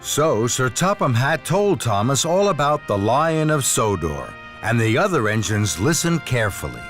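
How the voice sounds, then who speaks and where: A man narrating a scripted children's story in an expressive storytelling manner. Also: medium pitch, normal speed, soft background music.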